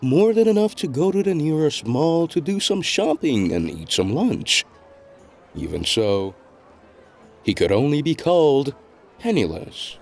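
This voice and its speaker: A man reading scripted story narration in a measured, deliberate storytelling delivery. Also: fairly high pitch, sing-song, vocal fry, a little mellow, faint music behind. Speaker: narrator of a web novel fandub.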